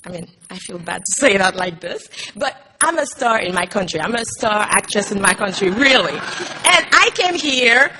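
A woman's voice: medium pitch, expressive, moderate pace, pushed and bright, a little hiss or hum. A woman, an actress on a panel, speaking earnestly in English, often restarting her sentences. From Haiti. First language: Haitian Creole. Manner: earnest, frequent restarts and fillers. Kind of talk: panel talk, personal story. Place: Montreal.

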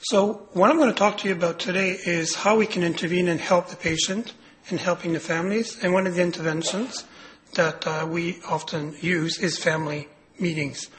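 A man with a raspy voice, hoarse from a cold, giving a clinical lecture with many pauses and fillers. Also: sits high, steady tone, fairly bright tone, rough recording. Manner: hesitant, many fillers and restarts. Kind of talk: medical lecture.

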